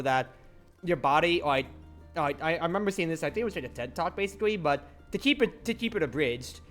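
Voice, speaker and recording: Game streamer, lively intonation, digressive casual talk, enthusiastic, light background music. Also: mid-range voice. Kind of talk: casual rambling chat. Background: faint music.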